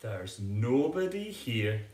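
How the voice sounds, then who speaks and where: A man's voice: mid-range voice, very animated, slow, a little mellow, echoey room. A man voicing an eager, polite little boy bear with a big imagination in a children's storybook. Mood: eager, earnest.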